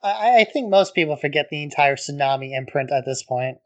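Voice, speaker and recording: A man co-hosting a podcast, chatting in a relaxed way and leaning on "like" as a filler. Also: on the high side, a little fast. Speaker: podcast co-host. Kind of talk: casual chat.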